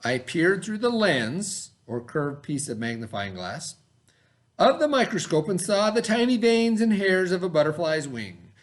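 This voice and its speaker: A man with a fairly high voice reads aloud in a lesson for children. His intonation is very lively, rising and dropping widely. He stresses key terms, repeating them and adding simple explanations as a teacher would. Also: unhurried. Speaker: teacher reading aloud to students. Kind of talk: expressive educational read-aloud with explanatory asides.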